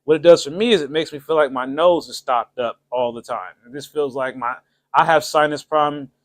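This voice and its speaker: A man's voice: on the high side, brisk, dry recording. Chatty man rambling to his viewers. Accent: Ohio, American. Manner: chatty, rambling, jokey with frequent laughs; shout-outs to viewers, loose colloquial speech. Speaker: channel host. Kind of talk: casual livestream monologue. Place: home setup with cameras.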